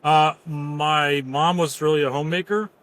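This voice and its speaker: A man's voice: a little high, steady tone, normal speed, fairly bright tone. A man from near Philadelphia, a former Marine and company founder, telling his story in an easygoing, matter-of-fact way. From Pennsylvania, near Philadelphia. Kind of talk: casual interview.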